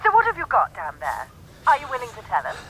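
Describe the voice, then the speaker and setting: An actress playing a brisk, commanding woman film-maker in a naturalistic audio drama. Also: on the high side, huge pitch swings, fairly bright tone, slight background noise, low-quality recording. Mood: probing. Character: bossy, determined woman documentary film-maker.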